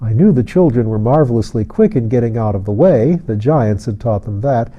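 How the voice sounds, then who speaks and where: A man reading a fantasy novel aloud as an audiobook narrator, in a measured storytelling manner. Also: mid-range voice, expressive, highs cut off.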